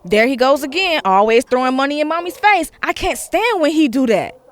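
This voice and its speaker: A woman's voice: high voice, expressive, brisk. A woman acting a combative, sarcastic daughter in an audio drama, with a sassy manner. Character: combative daughter fiercely defending her mother.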